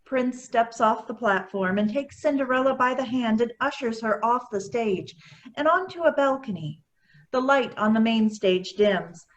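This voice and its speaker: Casting: female narrator, slightly high voice, reading scripted stage directions. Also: lively intonation, measured pace.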